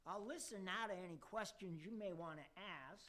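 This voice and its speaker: A man's voice: high-pitched, brisk, a bit bright. A man playing a pompous devil who addresses demons in a formal, oratorical way in an amateur radio play. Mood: stern, pompous. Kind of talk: amateur radio theater acting.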